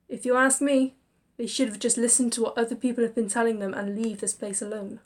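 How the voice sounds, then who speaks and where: Woman, expressive narration, fairly high pitch, chatty asides. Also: a little fast. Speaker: reader and narrator. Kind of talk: narrating a visual novel with inner monologue.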